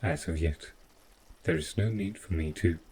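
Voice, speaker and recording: A man playing an overprotective, stiffly polite robot butler in an audio roleplay, speaking in formal, deliberate phrases. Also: deep voice, noisy background, natural voice. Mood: evasive, insistent. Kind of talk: scripted audio roleplay addressed to the listener.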